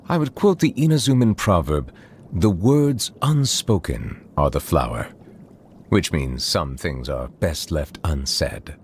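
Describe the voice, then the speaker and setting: A man playing a reserved, eloquent chief judge in a video game, speaking in a formal and measured way. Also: rumbling low, slight background noise, full character voice. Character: formal, reserved male chief judge, secretly a water dragon. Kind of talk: scripted game character voice lines. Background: music.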